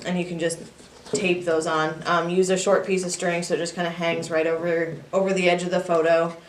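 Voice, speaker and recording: A woman's voice: on the low side, level tone, brisk. A woman giving an informal, practical instructional walkthrough in a plain way. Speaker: 4-H youth assistant giving a staff training. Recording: echoey room, distant mic, faint noise.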